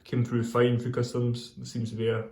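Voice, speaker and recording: A Scottish man chatting casually in a video review, rambling and hesitant with many pauses and ums. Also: medium pitch, monotone, a little mellow. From Scotland. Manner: pauses while lighting a pipe. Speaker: pipe tobacco reviewer.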